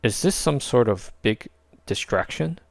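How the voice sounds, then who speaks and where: A man's voice: mid-range voice, lively intonation, measured pace, gravelly, no echo. A man voicing a suspicious, sarcastic space adventurer who leads his crew in a children's story. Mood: accusing.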